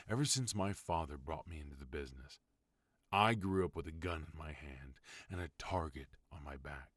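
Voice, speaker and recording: Casting: a man as a stern but tender mafia boss husband, very deep voice, dry close mic. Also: no echo, full character voice. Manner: low, firm, soft-spoken pleading. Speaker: voice actor. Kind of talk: ASMR roleplay.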